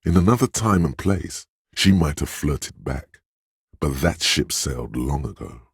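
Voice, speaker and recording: A man voicing a suave, insinuating inner voice of persuasion in a video game, narrating in a measured, knowing, gently coaxing way. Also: animated, no echo, clean studio sound, exaggerated voice. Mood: wry, measured. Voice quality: very deep, husky. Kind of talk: scripted video game narration in second person.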